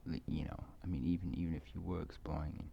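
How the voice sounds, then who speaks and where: A man rambling casually to himself in a halting, hesitant way, repeating words and trailing off mid-thought as he muses. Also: rumbling low, steady tone, gravelly, dark tone, a little hiss or hum. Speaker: video creator. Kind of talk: rambling casual solo monologue. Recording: dull, muffled lo-fi recording.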